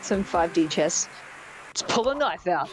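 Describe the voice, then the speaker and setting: A woman's voice: lowish voice, lively intonation, unhurried, poor audio. A woman chatting casually as part of a group dubbing stream. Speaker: co-voice on a live dub stream. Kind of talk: casual chat. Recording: game music behind the voice.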